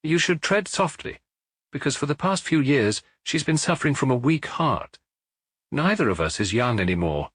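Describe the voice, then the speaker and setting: A man voicing a broken middle-aged man who confesses in a halting, pleading way in a detective audiobook. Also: medium pitch, lively intonation, fairly bright tone, husky, no room sound, clean studio sound, pitched above the performer's own voice.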